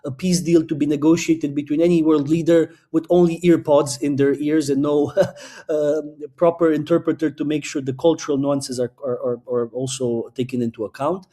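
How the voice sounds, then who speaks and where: Warm-voiced man, thoughtful guest. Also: on the high side, steady tone, moderate pace. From Romania. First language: Romanian. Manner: thoughtful, explains at length with frequent restarts. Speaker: podcast guest. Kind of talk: casual interview talk.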